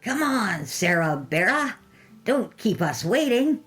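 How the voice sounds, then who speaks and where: A woman voicing a clownish male cartoon TV host in a horror story, coaxing with drawn-out exclamations and an eerie cheer. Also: medium pitch, sing-song, a bit bright, faint music behind, voice pushed up. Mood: coaxing, cheerful.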